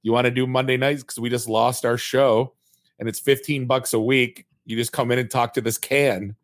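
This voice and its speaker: A man in the middle range of pitch hosts a podcast, talking in a chatty, storytelling way and poking fun at himself. His voice is recorded close and dry, with no room sound. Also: fairly even intonation, normal speed, no room sound. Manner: chatty, storytelling, self-mocking, many restarts. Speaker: podcast host. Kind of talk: casual podcast conversation.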